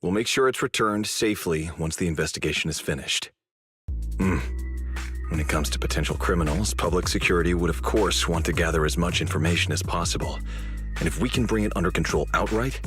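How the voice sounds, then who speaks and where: Game casting: senior security officer, very low and crisp-edged, formal, measured, drily commanding, music underneath. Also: male voice, fairly bright tone. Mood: politely guarded. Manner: measured, formal, politely pointed. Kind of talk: English video game dub voice acting.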